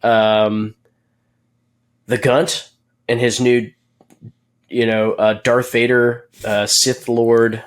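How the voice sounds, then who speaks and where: A man's voice: medium pitch, flat delivery, extremely slow, pauses often. A man co-hosting a podcast, recapping racing in casual chat, rambling with many fillers and jokes. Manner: rambling, filler-heavy, joking and laughing. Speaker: podcast co-host.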